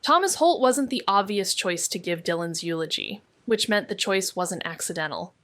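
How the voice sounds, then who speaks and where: A woman playing a wry, watchful young sleuth who narrates a noir mystery in a dry, clipped, deadpan way. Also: medium pitch, moderate pace, dry recording, close to an everyday voice. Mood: somber, watchful. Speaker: narrator and lead character.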